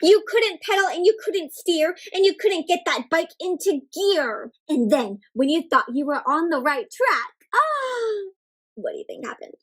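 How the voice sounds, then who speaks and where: A woman's voice: very high-pitched, very animated, measured pace. A woman hosting an interactive picture-book read-aloud, speaking expressively to children with exaggerated gasps and frequent questions.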